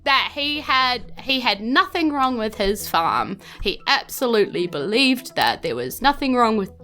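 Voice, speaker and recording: Animated, bright-voiced New Zealand woman game master. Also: fairly high pitch, a little slow. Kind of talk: improvised narration of a tabletop game. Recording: music bed behind the voice.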